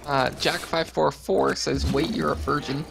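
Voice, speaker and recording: A man's voice: a little high, little pitch movement, a little slow, vocal fry. An American man reading livestream chat aloud and chatting casually, with dry joking asides.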